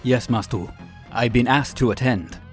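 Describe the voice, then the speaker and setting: A man's voice: medium pitch, moderate pace, faint music behind, close to an everyday voice. A man voicing an earnest, respectful and determined young fighting monk in a comic dub. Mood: resolute. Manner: respectful, resolute.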